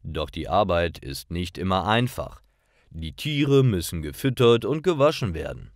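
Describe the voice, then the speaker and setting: A man with a fairly deep voice narrates simple stories for language learners. His delivery is very slow and deliberate, with lively rises and falls in pitch and carefully separated phrases that are easy to follow. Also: dry recording, studio quality.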